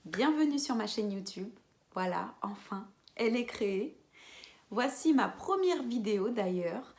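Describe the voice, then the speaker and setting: A woman in her twenties from French Guiana, chatting casually to camera as a beauty vlogger, with quiet whispered asides. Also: fairly high pitch, lively intonation, unhurried, heavy background noise. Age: 20s. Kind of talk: casual vlog chat to camera.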